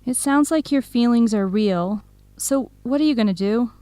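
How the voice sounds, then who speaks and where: A woman's voice: on the high side, moderate pace, dark tone, no echo, lightly acted. An actress playing a candid, concerned young woman friend, questioning bluntly and expressively in an English-learning radio drama. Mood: supportive.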